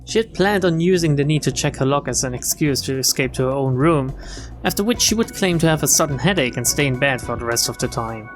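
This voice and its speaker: Male streamer: expressive narration of game text, casual and wry commentary, average pitch, clear music bed. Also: fast talker.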